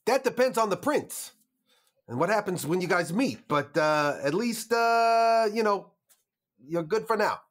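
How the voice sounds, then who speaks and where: Male tabletop player, high voice, hesitant improvised roleplay. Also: lively intonation, a little slow. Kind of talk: hesitant improvised in-character talk.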